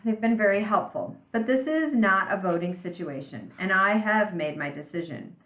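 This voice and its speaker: A woman voicing a decisive, stern female school principal in a storybook, speaking with measured authority. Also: medium pitch, lively intonation, roomy sound, phone-quality sound, lightly acted. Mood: firm.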